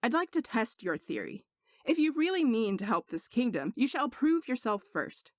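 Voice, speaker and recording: A woman's voice: fairly high pitch, sing-song, moderate pace, dry recording, clean studio sound. Woman reading as a blunt, imperious king. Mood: commanding, suspicious. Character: skeptical, commanding young king.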